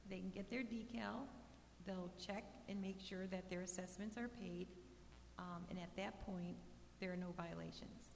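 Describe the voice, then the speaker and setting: A woman's voice: mid-range voice, creaky. A woman who manages a residential park, answering residents' questions at a meeting in a plain, restart-prone way. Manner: plainspoken, frequent restarts and fillers. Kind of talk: casual meeting talk. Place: community meeting hall. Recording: reverberant hall with faint hum.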